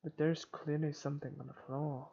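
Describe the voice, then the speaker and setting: Male playthrough reader, slightly high gravelly voice, dramatic but halting delivery of horror narration, dull mic, faint music behind. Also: level tone, warm tone, a little hiss or hum. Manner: halting, stumbles and rereads. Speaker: gamer reading a visual novel aloud. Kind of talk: dramatic read-aloud of first-person horror narration. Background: game music.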